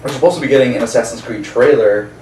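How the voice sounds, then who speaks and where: A man's voice: medium pitch, quick pace, darkish tone, slight background noise. A man co-hosting a casual movie chat in an informal, jokey way. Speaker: fill-in co-host. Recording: echoey room, distant mic, faint ambience.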